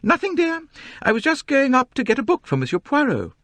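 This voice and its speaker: A man voicing a pompous middle-aged woman novelist with a high, complaining voice. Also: wildly swooping pitch, brisk, fairly bright tone, no echo, exaggerated voice. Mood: eager.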